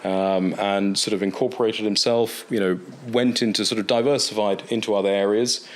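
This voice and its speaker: A man's voice: on the low side, steady tone, normal speed. A man in his forties, a guest in a casual interview, talking in a hesitant way with many fillers and repeated words. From England. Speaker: interview guest, tea company director. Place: indoor interview set in East London.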